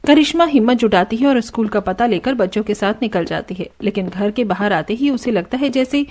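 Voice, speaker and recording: A woman narrating a cartoon story in an even storytelling manner. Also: medium pitch, fairly quick. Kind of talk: scripted narration.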